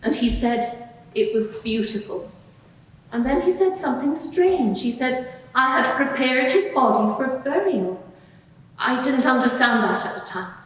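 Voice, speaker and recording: Echoey, high-voiced woman playing an earnest female witness. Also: lively intonation, a little slow. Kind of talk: dramatised courtroom witness testimony. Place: large reverberant courtroom. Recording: echoey hall, distant microphone.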